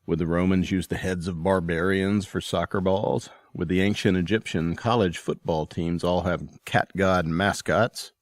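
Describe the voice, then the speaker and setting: A man's voice: deep voice, fairly even intonation, normal speed, hardly any pauses, dry recording. A man announcing a listener contest in a promotional podcast segment.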